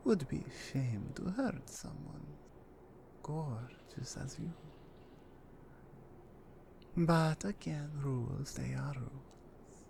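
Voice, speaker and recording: A woman playing a gravelly Russian mafia enforcer. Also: deep voice, lively intonation, slight background noise, full character voice. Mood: menacing, teasing. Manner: slow, teasing menace with soft whispered asides. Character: menacing female Russian mafia enforcer, cool and in control. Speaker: voice actress. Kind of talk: ASMR roleplay.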